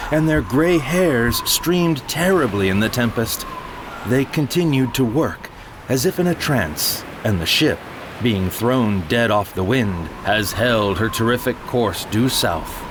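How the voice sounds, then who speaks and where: A man gives a measured, solemn first-person reading of a period sea tale in a one-man audio drama. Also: medium pitch, noisy background. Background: music.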